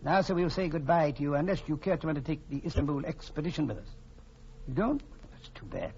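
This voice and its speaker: An actor playing a fat, cunning older gentleman villain with florid, formal speech, in the style of 1940s radio drama. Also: a little high, lively intonation, fast. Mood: courtly, resolute. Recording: muffled, faintly noisy old radio recording.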